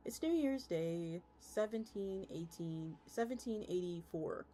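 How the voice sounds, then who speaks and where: A woman's voice: fairly low pitch, lively intonation, measured pace, dark tone, a little hiss or hum. A woman streamer reading a story aloud in a casual, conversational way. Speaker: VTuber streamer. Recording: music playing behind the voice. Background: background music.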